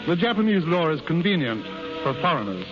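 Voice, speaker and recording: A blunt realist in a 1930s radio drama, cautioning in a firm, matter-of-fact way. His voice sits a little high, in a muffled old recording with music behind it. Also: big pitch swings, brisk. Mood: stern, cautioning. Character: concerned, cautioning American man, blunt realist.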